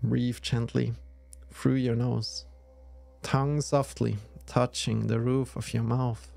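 A man leading a guided yoga nidra meditation in a soothing, measured way with long pauses. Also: medium pitch, little pitch movement, creaky, a little mellow, faint music behind.

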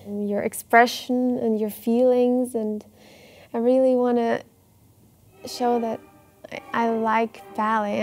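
A woman's voice: on the high side, darkish tone. A teenage girl from Switzerland, a ballet student, talking casually and eagerly in an interview, with many "like"s. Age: teens. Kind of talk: documentary interview.

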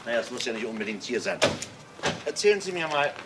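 An actor playing a composed police commissioner who questions people in a conversational way in a German crime radio play. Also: male voice, mid-range voice, very animated, fast talker, close to an everyday voice. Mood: probing. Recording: noisy old radio play recording.

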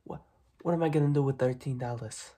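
A young man voicing a gruff, dismissive, streetwise mob boss in a soft-spoken ASMR roleplay, breathy and clipped. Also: medium pitch, natural voice. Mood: incredulous, scornful. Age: young adult. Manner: slow, clipped tough-guy talk with repeated phrases. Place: small dim room at home, indoors.

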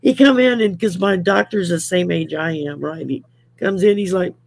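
A woman's voice: fairly low pitch, normal speed, creaky, darkish tone, no echo. A woman in her sixties from Louisiana chatting casually on a video call from home, rambling with lots of fillers. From Louisiana, USA. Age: 60s. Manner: rambling, many fillers, laughs often. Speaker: guest. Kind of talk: casual chat. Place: home room.

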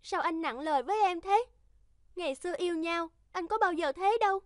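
A woman's voice: very high-pitched, brisk, dry recording. A woman voicing a hurt, reproachful pregnant young wife in a cartoon, speaking plaintively. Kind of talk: cartoon dubbing.

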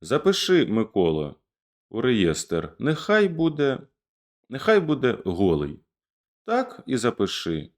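A man's voice: medium pitch, huge pitch swings, slow pace, pitched above the performer's own voice. A man voicing a fierce, commanding male Cossack rebel leader in a declaimed verse reading. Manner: rousing, declamatory.

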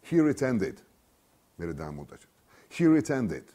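A man in his fifties giving a dramatic, declamatory reading of literary prose, with deliberate pauses, as an author reads his novel. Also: on the low side, big pitch swings, warm tone. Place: small bare studio room, seated at a desk.

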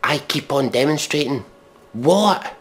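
A man giving mock dramatic readings and sardonic commentary in a deadpan, exasperated, sweary manner. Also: medium pitch, a little slow. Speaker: comedic commentator.

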